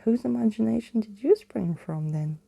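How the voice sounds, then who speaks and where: A woman voicing a cheeky gap-toothed street-thief boy in a soft, whispery children's storybook reading. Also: a little high, animated, moderate pace, creaky, slightly rough, exaggerated voice.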